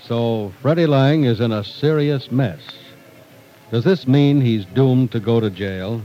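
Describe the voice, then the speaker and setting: A man announcing in a polished, deliberate 1940s radio style, reading scripted narration and sponsor copy. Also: mid-range voice, dark tone, lo-fi sound, faint music behind.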